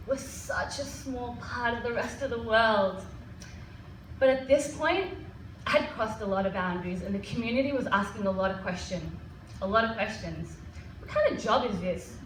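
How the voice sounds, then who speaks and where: A woman in her thirties with an Australian accent, telling personal stories to an audience in an animated way. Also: a little high. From Melbourne, Australia. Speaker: guest speaker. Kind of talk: personal storytelling talk to an audience. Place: event hall with an audience. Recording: echoey hall, distant mic, faint noise.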